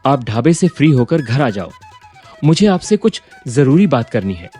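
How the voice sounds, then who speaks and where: Man acting an animated, ambitious young son. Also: medium pitch, moderate pace, husky, faint music behind. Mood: scolding. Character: ambitious, impatient young business graduate, son of eatery owner. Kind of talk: Hindi cartoon story voice acting.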